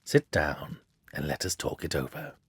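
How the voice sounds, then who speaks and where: A man voicing a shrewd, self-assured gentleman detective for a storybook, with a precise, theatrical, lecturing manner. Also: rumbling low, normal speed, husky, pitched below the performer's own voice, heavily acted. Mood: triumphant, suave.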